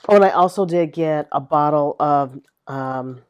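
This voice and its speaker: A middle-aged woman chatting casually to camera as a vlogger, rambling with many fillers, her voice a little raspy. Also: sits low, measured pace, a little mellow, lo-fi sound. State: slightly raspy voice. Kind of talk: casual chat.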